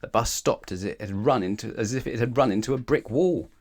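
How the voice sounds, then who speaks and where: A man reading a children's story aloud in an expressive, animated way, with emphatic phrasing aimed at the listener. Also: medium pitch, fairly quick. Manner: animated, asks the listener questions, emphatic repetition.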